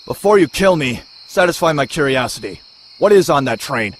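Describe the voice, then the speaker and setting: A man's voice: on the high side, expressive, normal speed, close to an everyday voice. A man voicing a cocky, sardonic young masked vigilante antihero in a motion comic, full of quips. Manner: sardonic, quippy. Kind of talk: motion comic narration and dialogue. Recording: echoey, roomy sound.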